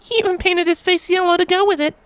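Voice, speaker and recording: Super high chirpy cartoon-style pony, cheerful, giggly, sweet teasing, close dry sound. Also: female voice, fairly even intonation, quick pace, dry recording. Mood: fond, nostalgic. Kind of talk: fan fiction audio story character acting.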